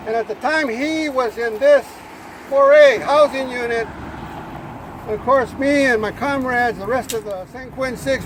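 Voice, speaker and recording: An older man addresses a demonstration outdoors, his voice unusually high and loud, plain-spoken and halting with fillers. Street noise and passing vehicles run clearly under his words. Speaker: rally speaker, former prisoner. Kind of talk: outdoor protest speech. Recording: noisy outdoor street recording.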